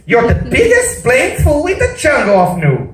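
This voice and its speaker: A man's voice: extremely high pitch, wildly swooping pitch, moderate pace, echoey room, recorded from a distance, pitched above the performer's own voice, heavily acted. A man voicing a sour, haughty female kangaroo in a storybook, snapping out scornful dismissals.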